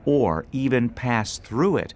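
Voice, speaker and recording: A man reading scripted instructional narration as a training video instructor, in a measured, explanatory way. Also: mid-range voice, expressive, darkish tone.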